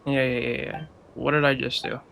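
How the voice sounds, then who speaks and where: A man's voice: mid-range voice, flat delivery, moderate pace, creaky, fairly bright tone, slight background noise. A man giving casual, reactive gameplay commentary, talking to himself as he plays. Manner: reactive, swearing, talks to himself. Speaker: video game streamer. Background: game music.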